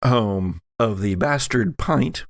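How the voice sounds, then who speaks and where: A man's voice: fairly low pitch, animated, takes it slowly, dry recording, studio quality. A man hosting a podcast, chatting casually and narrating a tabletop game as game master.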